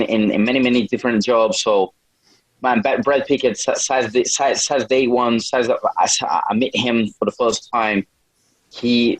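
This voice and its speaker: A young man from a small town in Brazil with a Brazilian accent, answering interview questions casually in halting non-native English, often repeating words. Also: medium pitch, no echo, low-quality recording. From Brazil, small town in the north. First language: Portuguese. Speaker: MMA fighter, podcast guest.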